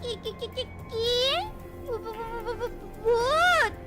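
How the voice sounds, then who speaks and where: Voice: extremely high pitch, slow pace. A performer voicing a bossy, hot-tempered, scheming wife in a cartoon, melodramatic and scolding. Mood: terrified. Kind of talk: cartoon voice acting. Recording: music behind the voice.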